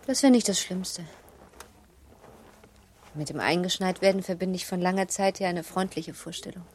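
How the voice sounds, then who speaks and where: An actress playing an uneasy young wife of the nineteenth century in a German radio play, speaking in a hushed, pensive, formal way. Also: female voice, fairly low pitch, fairly even intonation, moderate pace, slight background noise, natural voice. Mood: wistful.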